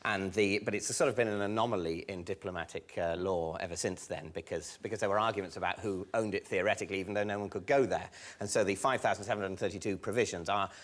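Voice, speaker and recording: Low-voiced man bluffing with confidence. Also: fast, hardly any pauses. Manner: rambling, confident mock-expert bluffing with restarts. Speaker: comedy panelist. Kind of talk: panel show banter.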